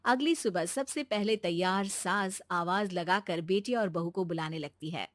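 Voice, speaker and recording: A woman's voice: on the low side, a little slow, no room sound, studio quality. A woman narrating a scripted moral bedtime story as a storyteller.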